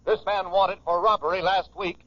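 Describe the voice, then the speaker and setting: A man's voice: high voice, steady tone, normal speed, a bit bright, no echo. A man reading a wanted bulletin in clipped phrases, in 1940s radio style. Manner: clipped, list-like delivery. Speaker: radio announcer. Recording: dry, muffled old radio broadcast.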